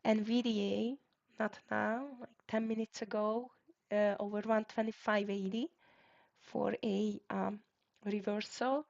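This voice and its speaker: A woman's voice: medium pitch, level tone, unhurried, gravelly, darkish tone. A woman with a Hungarian accent, hosting a live trading stream and calling out stock tickers in clipped bursts with fillers. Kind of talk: live market commentary. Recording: dull lo-fi stream audio.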